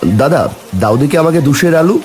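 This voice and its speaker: A man voicing a meek, put-upon village husband and father in a cartoon, plaintive and expressive. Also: fairly high pitch, normal speed, soft background music, natural voice. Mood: surprised, indignant. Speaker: cartoon voice actor.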